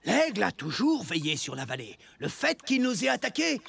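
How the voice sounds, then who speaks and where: A man's voice: high-pitched, huge pitch swings, moderate pace, gravelly, fairly bright tone, slight background noise, full character voice. A man playing a stern, wise village leader in a cartoon, speaking solemnly and with authority. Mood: grave, authoritative. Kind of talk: French cartoon dub acting.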